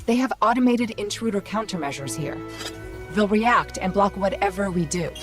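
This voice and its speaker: A woman's voice: mid-range voice, close to an everyday voice. Quippy female AI companion voiced by an actress, music behind. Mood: urgent, explaining. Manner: quippy, brisk, confident. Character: witty, upbeat female Ghost, small AI companion. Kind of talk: scripted video game voice acting.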